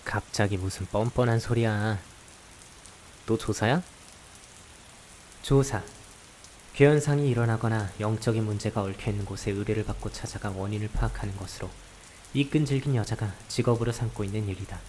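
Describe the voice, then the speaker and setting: A man playing an exasperated male high school student in a visual novel, blunt, dry and sarcastic, with a sighing delivery. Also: normal speed, pauses often, lightly acted. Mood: dry narration. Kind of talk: visual novel voice acting with some first-person narration. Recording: hiss with steady rain behind.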